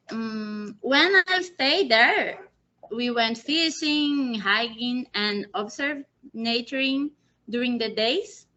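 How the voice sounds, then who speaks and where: A young woman from Brazil with a Brazilian Portuguese accent, speaking halting non-native English as she presents a webinar from a small room. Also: a little high, bright, forceful tone. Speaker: presenter, PhD student. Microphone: video call, laptop mic. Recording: muffled video call audio.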